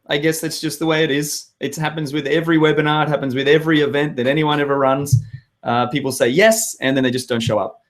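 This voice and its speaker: An Australian man in his thirties with a hoarse voice, hosting a live web show and chatting with viewers in an upbeat, rambling way. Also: fairly high pitch. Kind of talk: live webinar chat to viewers.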